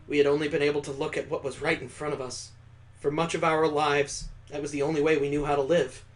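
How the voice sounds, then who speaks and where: Male streamer, slightly high voice, reading story narration and adding casual commentary. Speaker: streamer reading a visual novel aloud.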